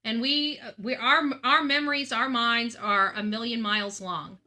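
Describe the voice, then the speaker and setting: A woman's voice: fairly high pitch, fairly even intonation, moderate pace, sharp tone. A woman hosting a true crime channel, speaking in an earnest monologue to her audience and repeating phrases emphatically for stress.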